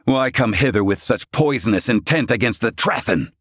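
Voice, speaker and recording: A man's voice: medium pitch, animated, moderate pace, fairly bright tone, no room sound, studio quality. A man voicing a stern, haughty learned character in a video game, speaking in pompous, clipped, archaic formal diction. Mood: indignant.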